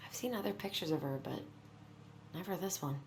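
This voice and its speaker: A woman's voice: lowish voice, brisk, close to an everyday voice. An actress playing a thoughtful but firm, skeptical young woman in a naturalistic video game. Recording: faint background noise.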